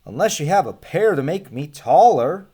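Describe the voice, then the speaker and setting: A man's voice: fairly high pitch, sing-song, moderate pace, warm tone, slightly rough, pitched above the performer's own voice. An American man voicing a short, sceptical storybook man who is hard to impress, exclaiming dismissively.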